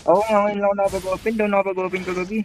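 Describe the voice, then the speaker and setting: Casual male gamer, Filipino accent, voice a little high, faintly noisy recording. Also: level tone, rapid-fire. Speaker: mobile game player. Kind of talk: casual chat while gaming. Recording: background noise under voice chat.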